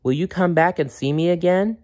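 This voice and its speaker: A man's voice: high-pitched, brisk, darkish tone, no echo, voice pushed up, natural voice. A man voicing a timid little girl from a storybook, hesitant and childlike, on a dull recording. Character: timid, worried little girl facing hospital.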